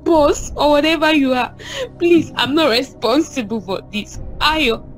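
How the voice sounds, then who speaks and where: A woman with a Nigerian accent playing an expressive, affectionate but anxious young wife in a film drama. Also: sits high, measured pace, soft background music, rough recording. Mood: hurt, pleading. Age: young adult. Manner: expressive, pleading, uses pet names. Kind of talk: melodramatic film acting.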